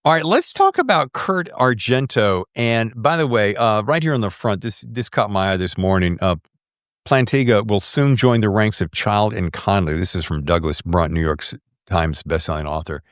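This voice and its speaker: Low-voiced male podcast host. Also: animated, moderate pace, clear, resonant tone, dry recording, clean studio sound. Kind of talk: casual conversational interview.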